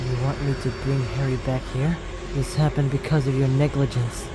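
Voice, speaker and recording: A woman playing a cocky, commanding retired judge of the underworld in an animated drama, switching between solemn and brashly sarcastic. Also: extremely low pitch, moderate pace, noisy background. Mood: sardonic, defiant. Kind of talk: dramatic animated-story voice acting. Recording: music behind the voice.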